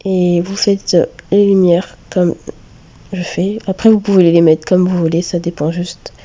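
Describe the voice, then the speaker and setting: A woman with a cold giving a step-by-step drawing tutorial, talking hesitantly with many filler pauses. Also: on the low side, little pitch movement, warm tone, highs cut off. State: has a cold. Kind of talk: screen-recorded step-by-step tutorial.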